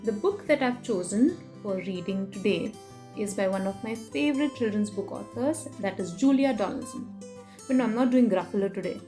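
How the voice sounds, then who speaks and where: A woman doing an expressive children's picture book read-aloud, playful in her emphasis, with chatty asides to her young listeners. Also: mid-range voice, moderate pace, faint music behind. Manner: animated, sing-song rhyme reading with playful emphasis. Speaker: children's story reader and host.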